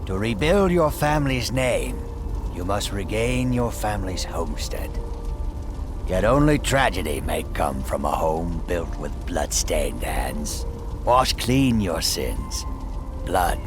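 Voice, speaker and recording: A man's voice: low-pitched, big pitch swings, slow pace, full character voice. An actor playing a solemn Norn shaman in a video game, chanting in a ceremonial, commanding way. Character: solemn Norn shaman invoking a bear spirit. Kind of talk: video game cutscene acting. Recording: music behind the voice.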